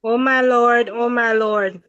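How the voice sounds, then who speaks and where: A woman recites a devotional prayer over a video call, unhurried and reverent. Her voice is smooth and clear, pitched a little high. The sound is dry and close, with no room echo. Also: fairly even intonation, fairly bright tone, dull audio.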